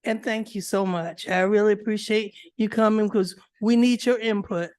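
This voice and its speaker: A woman's voice: medium pitch, fairly even intonation, moderate pace, darkish tone. A woman chairing a legislative committee hearing, informal and chatty, calling up speakers with offhand asides.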